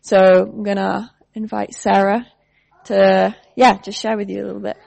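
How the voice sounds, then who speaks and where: A woman at a mid pitch talks to a church congregation, introducing her outreach team. She speaks loosely and hesitantly, with frequent pauses and fillers. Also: gravelly, dry recording, low-quality recording. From United Kingdom. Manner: relaxed, hesitant with many fillers. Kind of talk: casual church address.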